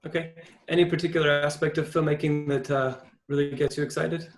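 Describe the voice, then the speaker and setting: A man's voice: on the high side, little pitch movement, brisk. A man hosting an informal online discussion as a film school chair, with an encouraging manner on a video call.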